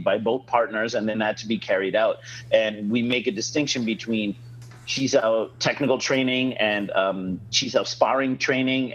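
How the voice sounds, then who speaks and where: A man's voice: mid-range voice, breathy edge, highs cut off. A man hosting a casual podcast, a martial arts instructor, ranting frankly with many fillers.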